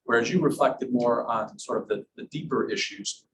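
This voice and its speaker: A man's voice: mid-range voice, normal speed. Man, professor, chatty and enthusiastic, hosting a classroom interview, distant mic, audible room noise. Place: university classroom.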